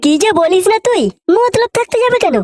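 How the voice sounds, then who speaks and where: Woman voicing a very high-pitched cocky young slacker. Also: animated, brisk, noisy background. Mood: defensive. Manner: slangy, exclamatory. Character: cocky, foul-mouthed, lazy unemployed young boyfriend, male. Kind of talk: comic cartoon dialogue. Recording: background music under voice.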